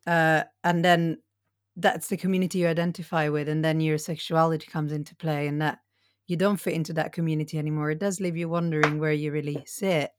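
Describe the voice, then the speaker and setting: A woman's voice: deep voice, flat delivery, a little fast, no echo. A woman from Italy interviewing in a reflective, conversational way and sharing her own experience.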